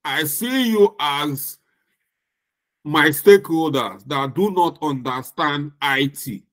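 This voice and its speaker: Slow-speaking Nigerian man lecturing. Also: fairly high pitch, expressive, a bit bright. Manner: slow, deliberate, with emphatic repetition. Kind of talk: recorded lecture.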